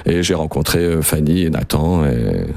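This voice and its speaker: Very low-voiced band founder, dry sound. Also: male voice, expressive, normal speed, gravelly, warm tone, no room sound. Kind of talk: radio interview.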